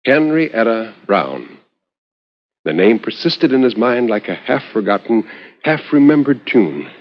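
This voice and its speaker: Man narrating expressively on dull 1950s radio. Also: medium pitch. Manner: measured, expressive, lingering on phrases with dramatic pauses. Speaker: narrator reading a short story on a radio horror anthology.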